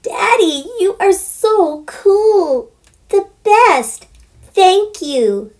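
A woman's voice: big pitch swings, extremely slow, exaggerated voice. Woman doing a chirpy, theatrical preteen girl. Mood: gushing. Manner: high, wheedling and theatrical. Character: chirpy preteen farm girl, bossy and dramatic.

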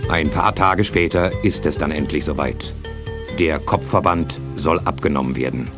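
A man's voice: a bass voice, moderate pace, music in the background. A man narrating a scripted German radio play as its storyteller.

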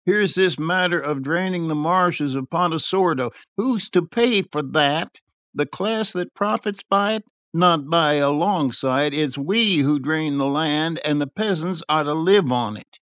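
A man's voice: a little high, unhurried, fairly bright tone, no room sound, studio quality, voice pushed up. A man voicing a proud, impatient cloth merchant in an audiobook, haughty and indignant. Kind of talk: audiobook character acting.

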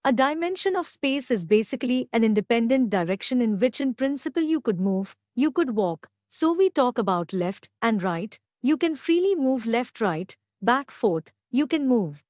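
A woman with a slightly high voice reads prepared passages aloud, as in a demonstration for a language exam. The delivery is scripted reading, sentence by sentence. The sound is dry, with very little room echo. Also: sing-song, moderate pace, few pauses, darkish tone. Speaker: English test read-aloud demonstrator.

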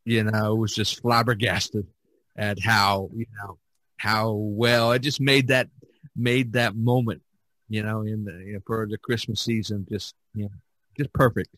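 A man hosting a casual podcast chat, reminiscing in a halting, rambling way and often repeating his words. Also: on the low side, flat delivery, fairly bright tone, no room sound, poor audio. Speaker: podcast host.

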